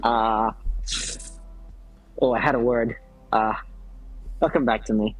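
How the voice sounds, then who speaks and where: A man's voice: on the low side, fairly even intonation, takes it slowly, muffled recording, faint music behind. A man chatting casually as a guest on a live stream, with a playful, mock-formal turn of phrase. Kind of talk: casual chat.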